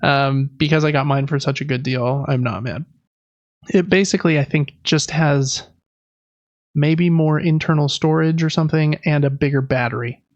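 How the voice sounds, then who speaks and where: A man's voice: on the high side, fairly even intonation, moderate pace, no room sound, muffled recording. A man co-hosting a gaming podcast, chatting casually in a rambling, enthusiastic, informal way. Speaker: podcast co-host.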